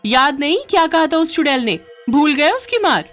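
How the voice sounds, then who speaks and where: A woman's voice: high voice, sing-song, moderate pace, fairly bright tone, soft background music, voice pushed up. A woman voicing an exasperated village wife with a scolding, exclamatory manner in a comic story. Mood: annoyed scolding. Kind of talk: Hindi comic story acting.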